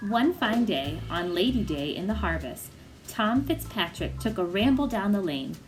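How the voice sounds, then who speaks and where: A woman's voice: mid-range voice, moderate pace, soft background music, poor audio. Woman reading a picture book to children. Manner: animated, addressing children. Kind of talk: expressive picture-book read-aloud.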